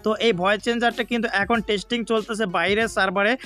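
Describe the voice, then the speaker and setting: A Bangladeshi man narrates a gaming tutorial in a high voice. His melody stays flat while he moves quickly through each step, repeating himself to explain. Faint music plays behind him. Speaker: gaming YouTuber.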